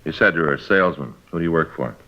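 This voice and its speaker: An actor playing a plain-spoken police detective in a 1950s radio crime drama, brisk and matter-of-fact. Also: male voice, rumbling low, pushed and bright, exaggerated voice. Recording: dull lo-fi old radio recording.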